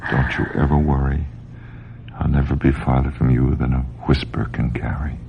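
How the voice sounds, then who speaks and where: A man's voice: rumbling low, fairly even intonation, moderate pace, gravelly. A man acting an earnest, caring doctor in a radio drama, with a grave, intense manner. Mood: tender. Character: earnest, caring male doctor in love with his patient. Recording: muffled, noisy old radio drama recording.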